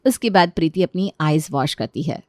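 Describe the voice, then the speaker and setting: A woman's voice: low-pitched, lively intonation, moderate pace, a little mellow, no room sound. Woman narrating a story, dry recording.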